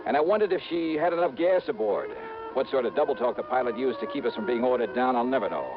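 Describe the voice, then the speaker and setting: A man's voice: medium pitch, fast talker, natural voice. Hardboiled 1950s radio detective, played by a man. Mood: wry narration. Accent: American. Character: hardboiled male freelance insurance investigator, brash, impatient, wry. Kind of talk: 1950s radio drama acting and first-person narration. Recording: dull vintage radio recording with music.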